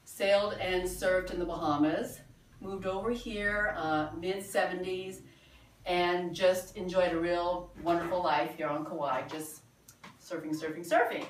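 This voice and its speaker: A woman in her sixties from the Philippines, giving an earnest, halting presentation to a small group with many fillers. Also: fairly low pitch, fairly even intonation, slight background noise. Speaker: presenter at a sales meeting. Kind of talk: informal presentation. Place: meeting room. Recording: echoey room from far off.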